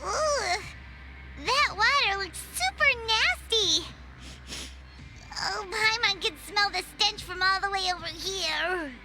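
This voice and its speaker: An actress voicing a tiny, excitable female floating companion who talks about herself in the third person. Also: very high-pitched, slow, heavily acted, low-quality recording. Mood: indignant. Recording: game audio with music behind.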